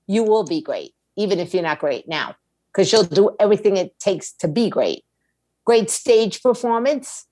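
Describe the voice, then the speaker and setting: A woman speaks as an interview guest in a conversational, emphatic way. She drives points home with repetition and rhetorical questions. The sound is dry and close, with a mid-range pitch. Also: dry recording. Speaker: interview guest, music attorney and label owner.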